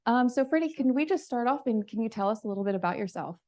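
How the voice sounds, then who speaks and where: A woman's voice: a little high, animated, quick pace, warm tone. A woman hosting a live event, presenting to an audience and then moderating a Q and A, upbeat with frequent fillers.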